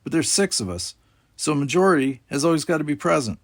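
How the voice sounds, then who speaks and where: A man's voice: fairly high pitch, animated, quick pace, voice pushed up. A man voicing a cocky, cheerful teenage boy captain in a sci-fi storybook, talking in a breezy, glib way. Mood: reassuring, casual.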